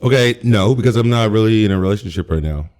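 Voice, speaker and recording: Male comedian guest, deep low voice, wry self-mocking chat. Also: quick pace, a little mellow. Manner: joking, self-deprecating. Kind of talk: casual podcast chat.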